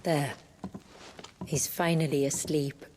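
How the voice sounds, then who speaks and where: An actress playing a calm, proud, protective warrior guardian in a fantasy game, speaking formally and deliberately. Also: female voice, low voice, a little hiss or hum. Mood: tender resolve. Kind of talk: cinematic fantasy game acting.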